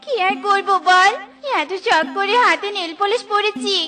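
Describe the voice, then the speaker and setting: A woman's voice: very high voice, fairly even intonation, unhurried, pushed and bright, full character voice. A woman voicing a vain, melodramatic cartoon housewife, whiny, theatrical and scolding, with music in the background. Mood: complaining. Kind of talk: animated cartoon dialogue.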